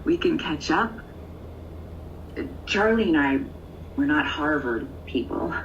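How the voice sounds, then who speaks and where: A woman's voice: low voice, expressive, slow pace, heavily acted. A woman playing a tender, middle-aged mother on a phone call in an audio drama, hesitant and motherly. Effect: phone line. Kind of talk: naturalistic audio drama acting. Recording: distant muffled phone, faint noise.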